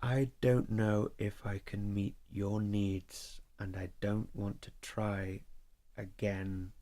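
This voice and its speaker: A man playing a guarded, solitary lover in an audio drama, speaking in a measured, reserved, matter-of-fact way. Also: lowish voice, level tone, dark tone. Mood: subdued, resigned. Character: guarded, solitary man, ambivalent about love.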